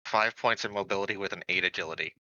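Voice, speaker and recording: A man's voice: deep voice, quick pace, bright, forceful tone, lo-fi sound. A man joking casually as a player in a tabletop game, tripping over and repeating words.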